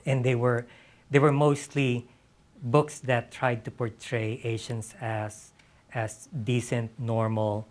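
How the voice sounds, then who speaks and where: Male novelist on a panel, mid-pitched, reflective, halting delivery with repeated words. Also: flat delivery. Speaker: novelist being interviewed on a panel.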